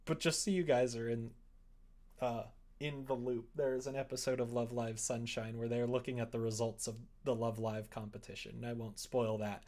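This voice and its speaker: A young adult man with a medium-pitched voice hosts a casual livestream. He chats in a playful, jokey way, drifting between commentary and reading aloud. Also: little pitch movement, moderate pace, darkish tone. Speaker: streamer.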